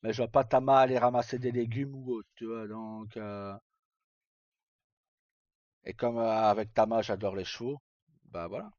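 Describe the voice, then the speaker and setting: A man's voice: medium pitch, steady tone, moderate pace, long pauses, darkish tone, no echo. A middle-aged man talks casually on a livestream in an informal, rambling and hesitant way. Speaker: live streamer. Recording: dull, lo-fi sound.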